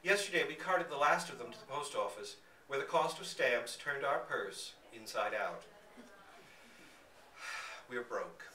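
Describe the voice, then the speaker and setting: A man giving an expressive, theatrical reading of a literary story aloud. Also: medium pitch, unhurried, long pauses. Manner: theatrical, dramatic emphasis. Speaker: reader at a live literary reading. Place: indoor public reading in a bookstore. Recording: roomy, distant mic, faint background noise.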